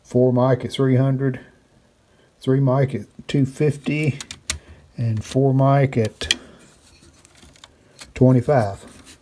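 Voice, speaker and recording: A man with a mid-pitched voice talks through hands-on repair work in a casual way. He speaks off the cuff in short phrases, thinking aloud as a hobbyist restorer would. The home-video sound carries clear noise. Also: level tone, drawn-out words, pauses often, darkish tone.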